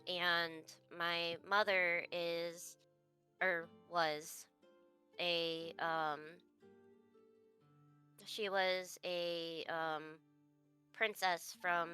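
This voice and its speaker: A woman's voice: lowish voice, flat delivery, very slow, long pauses, pushed and bright, faint music behind. A young woman playing a tabletop game, speaking in character as her bard, hesitant and full of fillers and restarts. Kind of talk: in-character roleplay.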